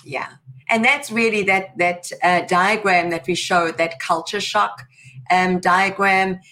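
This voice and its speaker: A woman's voice: fairly low pitch, steady tone, takes it slowly, sharp tone. A woman hosting an interview, asking questions with frequent ums and easy laughs. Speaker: podcast host.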